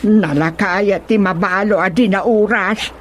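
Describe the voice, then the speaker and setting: A woman's voice: medium pitch, a little slow, close to an everyday voice. A woman playing an anxious village woman in a radio drama, fretful and exclamatory. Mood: worried. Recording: background noise, water ambience.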